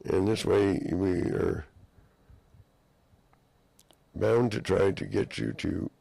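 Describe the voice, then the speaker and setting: Hoarse low-voiced man, heavy vocal fry. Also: unhurried, warm tone, no room sound. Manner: rambling, mock-courtroom phrasing, drifting sentences. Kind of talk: rambling monologue to camera.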